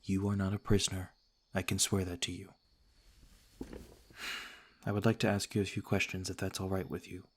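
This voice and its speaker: A man playing a courteous, cautious noble vampire lord in an ASMR roleplay, speaking in a formal, gentle, measured way. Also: sits low, monotone, a little hiss or hum, close to an everyday voice. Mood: calm, guarded courtesy.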